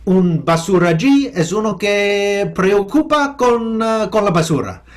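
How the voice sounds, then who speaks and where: A man with a fairly high voice and an American English accent. He is a professor being interviewed, and he picks his words with care, stopping and starting often. English is his first language. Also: lively intonation. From United States. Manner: halting, thoughtful, with many restarts and fillers. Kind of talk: remote interview.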